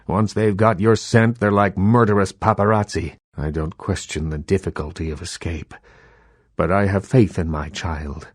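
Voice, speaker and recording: Deep, measured, proud vampire elder. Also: male voice, breathy edge, no echo, studio quality. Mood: grave, warning. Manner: measured, theatrical, world-weary authority. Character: proud old-fashioned vampire elder, Hollywood baron. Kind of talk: scripted video game dialogue.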